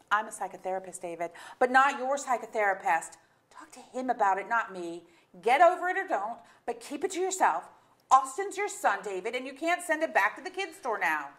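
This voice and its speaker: A woman's voice: a little high, a bit bright. An actress playing a blunt, exasperated middle-aged wife and mother in a staged reading of a comic drama, speaking in emphatic, sardonic phrases. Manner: sardonic, emphatic, long articulate tirades. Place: theatre auditorium.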